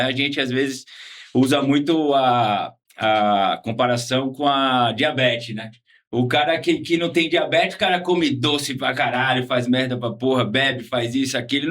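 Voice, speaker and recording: A man from Salvador with a Bahian accent, talking casually as an interview guest, relaxed and slangy, often ending with tag questions. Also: medium pitch. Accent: Bahian Portuguese. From Salvador, Bahia, Brazil. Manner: laid-back slangy talk with frequent tag questions. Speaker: guest, former patient.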